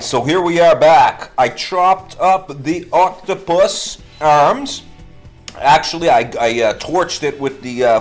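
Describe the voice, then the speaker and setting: A man talking casually as a podcast host, walking listeners through cooking step by step in an informal, conversational way. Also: fairly high pitch. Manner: informal, conversational, describes steps as they go.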